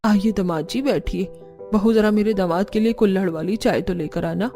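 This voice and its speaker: A woman acts out a bossy older mother-in-law from a family cartoon. Her delivery is scolding and stern, with a mellow tone. Soft music sits behind the voice. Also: mid-range voice, normal speed, voice pushed down, close to an everyday voice. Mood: composed, hospitable. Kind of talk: Hindi moral cartoon story acting.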